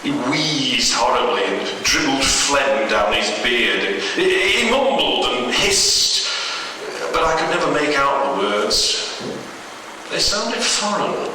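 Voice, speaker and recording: A man reading a horror story in the first person, in a measured, halting delivery with pauses. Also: mid-range voice, sing-song, sharp tone. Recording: very echoey, distant, noisy hall recording.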